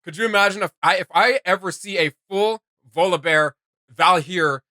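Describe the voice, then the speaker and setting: A man's voice: high-pitched, moderate pace, pushed and bright. A man giving casual, enthusiastic reaction commentary as a co-host, slangy and exclamatory, with music behind him.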